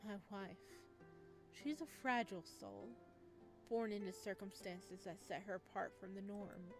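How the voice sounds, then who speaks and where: A woman voicing a soft-spoken, devoted male florist in a visual novel, in a soft, measured and tender manner, with game music behind. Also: medium pitch, lively intonation, lightly acted. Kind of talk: reading a visual novel aloud.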